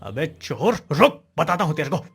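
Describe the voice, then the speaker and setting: आदमी की आवाज़ में गुस्सैल, भर्राया कंजूस हलवाई. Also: male voice, on the high side, big pitch swings, normal speed, vocal fry, fairly bright tone, slightly rough, faint music behind, heavily acted. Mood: accusing. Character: angry, accusing male sweet-shop owner, stingy and gullible. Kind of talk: Hindi cartoon story acting.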